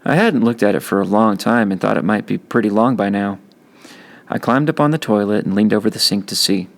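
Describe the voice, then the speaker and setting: A man's voice: fairly low pitch, fairly quick, gravelly. A man reading a first-person novel aloud as a teacher would, in audiobook narration.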